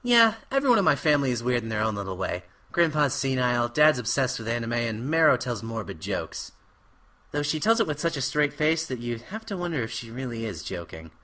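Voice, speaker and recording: A young man with a bright, forceful voice narrates a cartoon parody as a sarcastic teenage boy. His pitch swings in a lively way and he sounds exasperated and sardonic. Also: a little fast.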